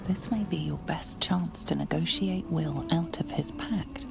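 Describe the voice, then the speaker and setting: A woman narrating a fantasy game in a calm, hushed, breathy storytelling voice. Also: sits low, measured pace, music in the background, exaggerated voice. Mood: hushed, measured. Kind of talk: scripted second-person storytelling.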